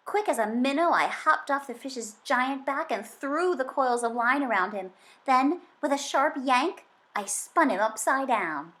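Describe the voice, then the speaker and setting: A woman with a slightly high voice reads a children's storybook aloud. Her delivery is expressive and dramatic, shaped to carry a tall tale. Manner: dramatic, varying from hushed suspense to emphatic excitement. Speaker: children's book reader.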